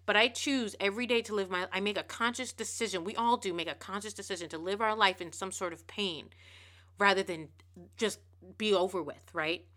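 A woman hosting a podcast, giving a casual motivational monologue. She talks fast and emphatically, repeating words and restarting phrases. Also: mid-range voice, fairly bright tone. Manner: emphatic, preachy. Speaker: podcast host.

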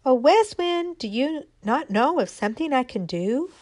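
A woman's voice: high-pitched, animated, moderate pace, a little mellow, no room sound, voice pushed up. A woman voicing a small, timid and hopeful little acorn girl in a storybook tale, with an eager, pleading manner.

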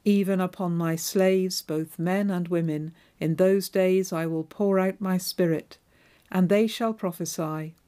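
A woman from Devon, reading scripture and preaching a sermon in a measured, clear way. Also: fairly low pitch, fairly even intonation, mellow. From Devon, England. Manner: measured, clear liturgical delivery.

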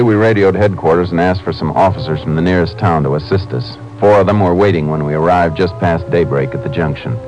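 An actor playing a steady, terse, authoritative Texas Ranger who also narrates his case in a 1950s radio drama. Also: deep voice, natural voice. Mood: measured narrating. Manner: terse, authoritative, unhurried under pressure. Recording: noisy old 1950s radio recording.

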